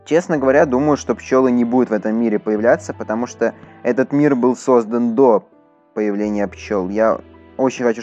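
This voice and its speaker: A man's voice: mid-range voice, darkish tone, muffled recording. A man doing casual, chatty let's play commentary, talking to his viewers as he plays. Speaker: gaming YouTuber.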